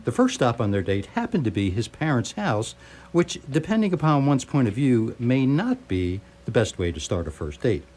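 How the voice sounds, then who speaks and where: A man's voice: mid-range voice, big pitch swings, moderate pace, darkish tone. A man reading scripted story narration for radio theatre, with a wry, literary storytelling manner. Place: live radio theatre performance.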